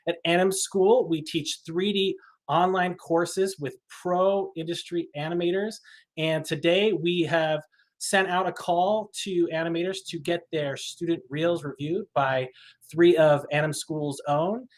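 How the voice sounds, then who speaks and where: A man hosting a livestream, introducing guests in an energetic, enthusiastic way. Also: fairly high pitch, unhurried. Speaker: host.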